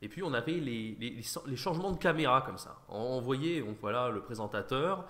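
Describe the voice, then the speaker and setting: A man's voice: medium pitch, animated, moderate pace, a bit bright. A man chatting casually as an online host, slightly nasal from an allergy, with an informal, rambling delivery. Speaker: YouTube host, online French teacher trainer. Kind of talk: casual chat, reaction commentary.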